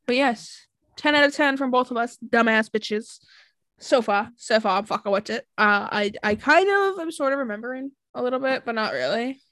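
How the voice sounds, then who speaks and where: A woman's voice: a little high, lively intonation, moderate pace, fairly bright tone, no room sound. A young woman co-hosting a podcast, chatting casually, giggling and swearing freely as she riffs. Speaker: podcast co-host. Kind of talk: casual chat.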